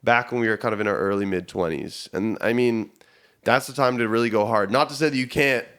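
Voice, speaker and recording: A man's voice: mid-range voice, brisk, a bit bright. A man in his thirties, a musician guest, chatting casually and reflectively on a podcast. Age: 30s. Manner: laid-back and reflective, swearing.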